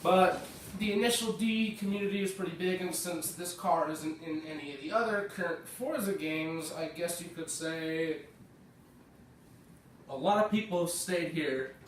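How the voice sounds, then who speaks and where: American guy a bit sick, rambly game commentary, kinda high voice, off-mic in an echoey room, faint music. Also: moderate pace, far from the mic, slight background noise. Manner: chatty, rambling, corrects himself. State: slightly sick. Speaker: gaming video creator. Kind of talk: casual gameplay commentary.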